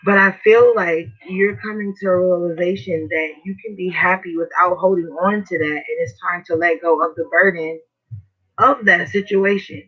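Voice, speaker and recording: A man with a hoarse, raspy voice giving a casual, slangy tarot reading as host. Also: high-pitched, steady tone, normal speed. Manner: slangy, chatty, swears casually. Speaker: tarot reader. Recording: dull lo-fi recording.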